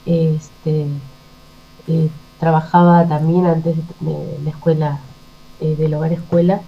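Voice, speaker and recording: A middle-aged woman with an Argentine accent, answering reflectively in a conversational interview, pausing and searching for words. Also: low-pitched, level tone. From Argentina, Buenos Aires province. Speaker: interview guest, poet. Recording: muffled lo-fi with mains hum.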